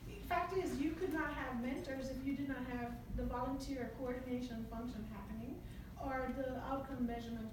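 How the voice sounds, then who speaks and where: A high-voiced woman from Jamaica leads a lively workshop, chatty and quick to laugh as she tells stories. She is recorded from a distance in a large, echoing meeting room with a noisy background. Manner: chatty, laughs often, animated storytelling. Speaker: workshop presenter. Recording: echoey room from far off, noisy.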